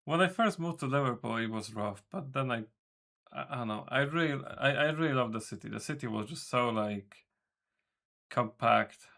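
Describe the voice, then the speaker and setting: A man's voice: mid-range voice, animated, moderate pace, vocal fry, sharp tone. A man streaming as a game developer, chatting casually with his viewers in a rambling way, correcting himself and swearing offhandedly. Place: home room.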